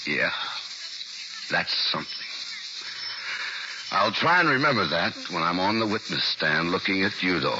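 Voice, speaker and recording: A tough ex-con informer. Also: male voice, low voice, big pitch swings, a little slow, pauses often. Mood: anxious. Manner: tough streetwise talk, clipped and guarded. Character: ex-con turned informer, tense young family man. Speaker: lead actor in a radio drama. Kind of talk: 1940s radio acting. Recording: old 1940s radio broadcast, hissy and dull.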